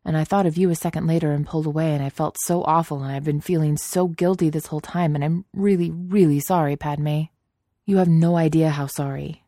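A flustered young man stumbles over his words in guilt, as a woman reads him in her own voice. The tone is low and dark, close and dry with no echo. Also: a little slow. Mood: flustered, guilty.

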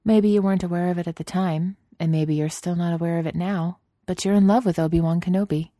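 Gentle young woman, calm, loving, warm low voice, dry close sound, as a woman reads her lines. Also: quick pace, no room sound, close to an everyday voice. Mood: gently reassuring.

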